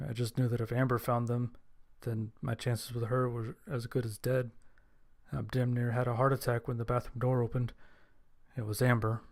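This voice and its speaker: A man reading a scripted first-person story as narrator, in a measured, understated way. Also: medium pitch, darkish tone. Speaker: narrator and voice actor. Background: background music.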